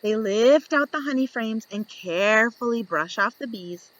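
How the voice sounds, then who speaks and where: A woman reading a children's picture book aloud, slow and lively, lifting into high voices for the questions. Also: no echo, poor audio. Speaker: storybook reader.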